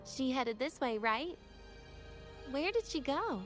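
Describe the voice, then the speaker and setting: Young female student, game dialogue. High pitch, cool and composed, dry humor, light teasing. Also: sing-song, slow, over music, heavily acted. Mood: wry, playful. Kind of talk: video game voice acting.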